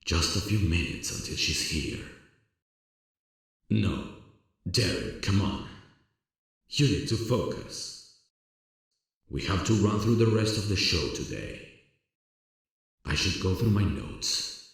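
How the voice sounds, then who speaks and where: A man playing a smitten, self-conscious theatre director who is kind to actors, in an ASMR roleplay audio drama, with a gravelly, husky voice. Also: low voice, very animated, slow, long pauses, a bit bright, full character voice. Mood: anxious longing. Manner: alternates murmured private musing and projected, polite instructions.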